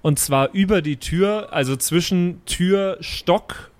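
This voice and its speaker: A man's voice: high voice, slow. A man playing a tabletop role-playing game, improvising and chatting in slangy, colloquial speech. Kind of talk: improvised role-play, casual chat.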